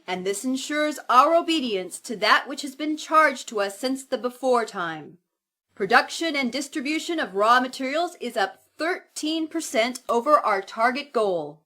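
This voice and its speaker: Woman acting as stern preacher lady in a sci-fi audio drama, slow and high, very solemn. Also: bright, forceful tone, heavily acted. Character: stern female cleric leading an obedient dystopian congregation.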